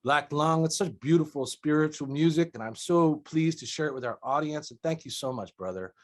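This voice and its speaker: A man hosting a casual interview, praising his guest generously and letting his phrases trail off softly. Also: a little high, fairly quick, faint music behind. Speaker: interviewer host.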